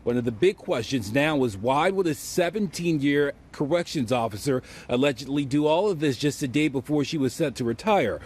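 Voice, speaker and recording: A man's voice: mid-range voice, a little mellow, breathy edge. A man narrating a scripted television news report as a correspondent.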